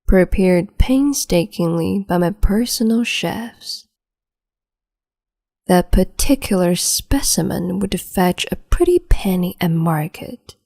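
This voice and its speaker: A woman voicing a cruel, capricious empress in an ASMR roleplay, whispering softly and condescendingly with sweet menace, close to the mic. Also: on the low side, heavily acted. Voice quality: breathy whisper.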